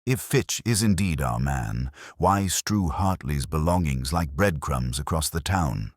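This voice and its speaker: A man voicing a mild, shrewd police inspector in a detective story, speaking gently, deliberately and formally. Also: a bass voice, lively intonation, dry recording, clean studio sound, full character voice. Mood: cautioning, probing.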